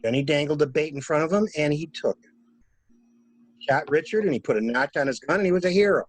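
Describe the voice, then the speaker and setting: A man playing a calculating murderer who poses as a helpful investigator, musing theatrically in measured phrases in an amateur mystery play. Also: on the high side, no room sound, natural voice, rough recording. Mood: musing, insinuating. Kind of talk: amateur radio-play style mystery acting.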